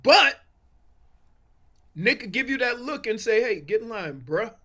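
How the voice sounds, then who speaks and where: Man, sports talk guest, swooping lively pitch, sharp bright voice, emphatic repetition, colloquial phrasing. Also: unhurried. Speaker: guest sports analyst on a show.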